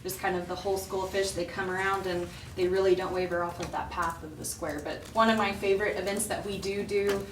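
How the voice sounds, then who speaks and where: A woman's voice: mid-range voice, brisk, few pauses. A woman giving a friendly, informal report at a public meeting, with frequent ums. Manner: friendly, many ums, laughs easily. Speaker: local business organization representative. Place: council chamber. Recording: echoey chamber, distant mic, steady background noise.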